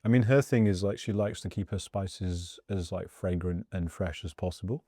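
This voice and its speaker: A man's voice: sits low, normal speed, warm tone, no room sound, clean studio sound. A man from Wales hosting a casual interview over a meal, talking in an informal, conversational way. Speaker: interviewer and host serving food.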